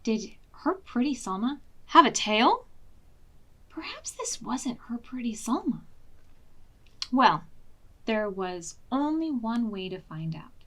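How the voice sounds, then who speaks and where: A woman reading picture books aloud to young children as a storytime host, with expressive, deliberate phrasing and hushed dramatic asides. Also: on the high side. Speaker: children's librarian hosting preschool storytime.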